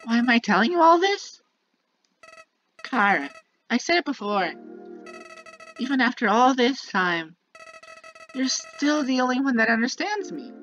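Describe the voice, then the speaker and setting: Music plays under a muffled, lo-fi recording of a childlike, villainous talking flower. The voice swoops widely in pitch through a theatrical game monologue. It is bitter and manipulative, sweetly musing and then menacing. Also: female voice, sing-song, a little slow, pauses often, fairly bright tone, full character voice. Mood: confiding, scheming. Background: prominent music.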